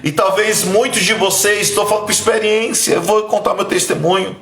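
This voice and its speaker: A man in his thirties from Bahia, Brazil, preaching a sermon and sharing his testimony in an emphatic, pleading way. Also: echoey room. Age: 30s. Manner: emphatic, pleading, voice dropping low and soft when emotional. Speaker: pastor.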